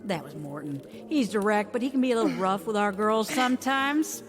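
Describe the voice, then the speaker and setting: A woman playing a sly, commanding Western saloon witch with an American accent, sugary, teasing and menacingly calm in an audio drama. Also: mid-range voice, brisk, lightly acted. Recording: music under the voice.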